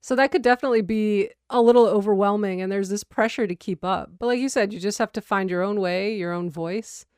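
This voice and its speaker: Young woman host, friendly and chatty, smooth clear tone, dry recording. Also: medium pitch, a little fast, hardly any pauses, no echo. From United States. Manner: friendly, chatty, lively storytelling. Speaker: interviewer. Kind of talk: casual interview.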